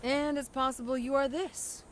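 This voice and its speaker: An actress playing a sly, warm woman arms trader in a video game, with playful, theatrical phrasing. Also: sits high, fairly even intonation, moderate pace, slight background noise, close to an everyday voice. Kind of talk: video game cutscene acting.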